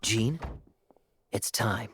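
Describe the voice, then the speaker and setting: An actor playing a suave, composed knight captain in a video game, with a polished, theatrical delivery. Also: male voice, on the low side, very slow, creaky, heavy background noise, heavily acted.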